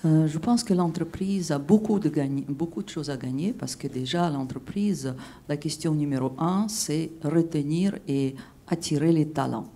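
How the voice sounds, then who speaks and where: A woman's voice: deep voice, warm tone. A woman from Russia, speaking French as a panelist in an emphatic, persuasive way at a conference. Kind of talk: panel discussion answer.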